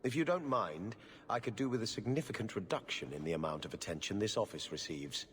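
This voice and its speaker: Deep male voice, harried sardonic official, formal, exasperated, swooping intonation, long hall reverb, game dialogue. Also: expressive, fairly quick, fairly bright tone, a little hiss or hum. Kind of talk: video game cutscene acting.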